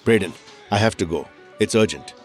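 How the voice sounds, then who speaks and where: A man's voice: on the low side, animated, moderate pace, soft background music, lightly acted. An actor playing a kindly, reassuring male doctor and mentor in an audio drama. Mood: urgent, evasive.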